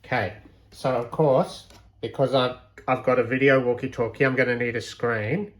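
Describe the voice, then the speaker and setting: A man's voice: medium pitch, steady tone, normal speed, poor audio. A man presenting a homemade electronics project in an informal, unscripted way, hesitantly thinking aloud as he goes. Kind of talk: casual unscripted project walkthrough.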